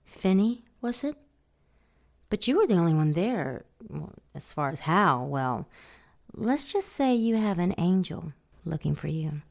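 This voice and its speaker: A woman's voice: fairly low pitch, very animated, measured pace, vocal fry, heavily acted. A woman playing a sultry, flirtatious femme fatale in an audio drama, with a velvety, teasing purr. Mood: casual, coy. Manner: purring, playful seduction.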